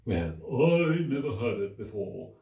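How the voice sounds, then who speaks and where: Slow, plaintive mock turtle creature. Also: male voice, mid-range voice, very animated, vocal fry, dark tone, highs cut off. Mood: querulous, puzzled. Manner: slow, drawn-out, plaintive delivery. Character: mournful, easily offended male mock turtle creature. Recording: echoey room, distant mic.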